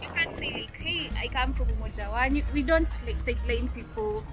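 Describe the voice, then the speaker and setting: A woman's voice: a little high, fairly quick. A woman with a Kenyan accent, chatting cheerfully with a giggly, friendly manner as a guest. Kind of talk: casual chat. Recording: loud music over the talk.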